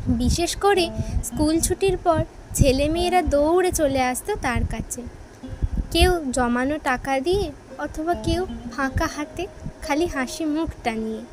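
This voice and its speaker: A woman narrates a children's moral story in a high-pitched voice. She reads from a script as the storyteller. Instrumental music plays clearly behind her. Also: poor audio.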